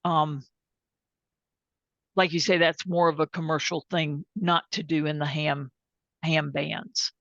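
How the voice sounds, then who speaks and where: A plainspoken woman presenting an informal software demonstration and taking questions, candid about what she does and doesn't know. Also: deep voice, flat delivery, takes it slowly, pauses often, no room sound. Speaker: presenter and tech support. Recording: dull, band-limited sound.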